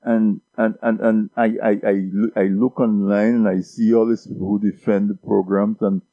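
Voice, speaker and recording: Low-voiced guy from dominica, keeps repeating words as he builds his points, dry dull sound. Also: level tone, normal speed, vocal fry, no echo. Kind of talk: casual discussion.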